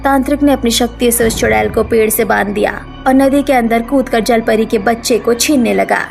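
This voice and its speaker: High-voiced woman storyteller. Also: normal speed, gravelly, a little mellow, soft background music. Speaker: story narrator. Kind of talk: scripted storytelling narration.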